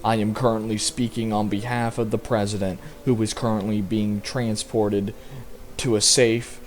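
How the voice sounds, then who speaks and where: A man playing a grave government spokesman reading a formal, measured emergency announcement about a disaster. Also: fairly low pitch, flat delivery, faint music behind, close to an everyday voice. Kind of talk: scripted emergency broadcast announcement.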